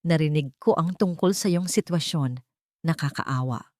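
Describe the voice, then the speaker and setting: A woman's voice: low-pitched, normal speed, no room sound, clean studio sound, natural voice. Haughty, sarcastic rich matriarch, read by a woman. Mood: cold mock pity. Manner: gentle but poisonous, condescending.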